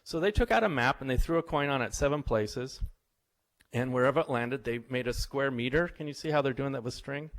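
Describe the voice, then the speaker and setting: A middle-aged man lecturing to a live audience in a relaxed, anecdotal way. He wanders through stories, restarts his sentences and keeps checking in with listeners. His voice sits at an ordinary, mid-range pitch for a man. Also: fairly quick. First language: English. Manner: chatty, anecdotal, asks the audience questions. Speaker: visiting professor giving a talk.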